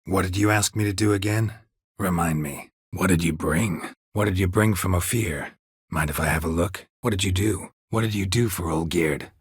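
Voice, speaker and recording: An actor playing a gruff, stoic, sardonic middle-aged monster hunter in a video game, a gravelly rasp and terse, deadpan delivery. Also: male voice, low voice, normal speed, dry recording, clean studio sound. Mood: dry, questioning. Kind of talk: video game character dialogue.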